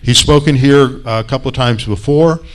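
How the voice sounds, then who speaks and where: A man's voice: mid-range voice, normal speed. Guy hosting, introducing the next speaker, friendly, lots of uhs. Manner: friendly, with fillers and repeats. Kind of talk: introductory remarks.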